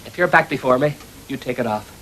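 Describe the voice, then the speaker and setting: A man's voice: medium pitch, expressive, fast, a bit bright, close to an everyday voice. A man playing a cheerful, daft young pantomime servant in chatty comic patter. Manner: chatty, gormless, bouncy. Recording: noisy 1980s TV recording.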